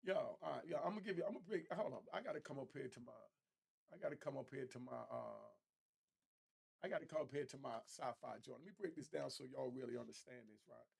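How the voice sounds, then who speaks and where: Middle-aged man, creaky streetwise commentary. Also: medium pitch, fast, a little mellow. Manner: streetwise, slangy, explanatory, conversational. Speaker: YouTube commentary host. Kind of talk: casual reaction commentary.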